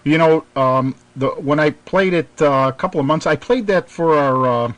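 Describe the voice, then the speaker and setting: A middle-aged American man hosting a radio show, reminiscing casually in a halting, rambling way. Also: on the high side, a bit bright, dry recording.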